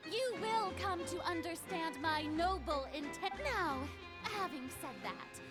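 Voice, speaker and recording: A showy young queen figure in a video game. The voice is extremely high, grandiose and haughty, over clear background music. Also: expressive, a little slow. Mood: theatrical, self-assured. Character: theatrical, haughty young female ruler, flustered underneath.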